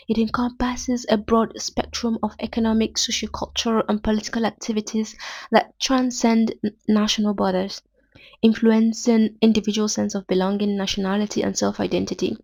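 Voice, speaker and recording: A woman's voice: a little high, creaky, a little mellow, dry recording, highs cut off. A young Nigerian woman with a Nigerian accent, hosting a podcast and reading a prepared literary essay in formal, academic wording. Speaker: podcast host.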